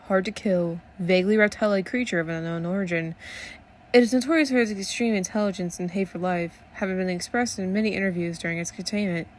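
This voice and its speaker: A woman's voice: medium pitch, a little hiss or hum, lightly acted. A woman playing a serious radio news reporter, giving a scripted report in a grave, matter-of-fact way.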